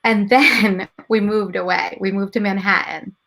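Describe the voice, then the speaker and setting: A woman's voice: medium pitch, steady tone, unhurried, creaky, fairly bright tone, rough recording. A woman in her thirties whose first language is Gujarati, telling her story in a casual interview and often quoting her own past thoughts. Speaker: guest, entrepreneur and mother.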